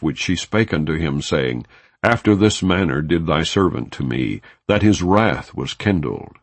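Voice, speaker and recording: A man reading scripture aloud in a solemn, measured, formal way. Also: deep voice, no echo. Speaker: scripture reader.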